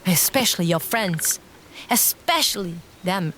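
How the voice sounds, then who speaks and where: A woman's voice: on the low side, animated, a little slow, fairly bright tone, a little hiss or hum. A woman playing a stern, scolding mother in an audio drama set in feudal Japan. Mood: stern admonishing. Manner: scolding, commanding.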